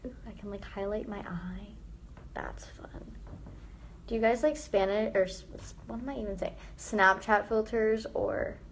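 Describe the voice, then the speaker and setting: A teenage girl chatting casually on a live stream from her phone, answering viewer comments with lots of "like" and trailing off into quiet asides. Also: mid-range voice, steady tone, measured pace, a little hiss or hum. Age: teens. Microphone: phone front camera held close.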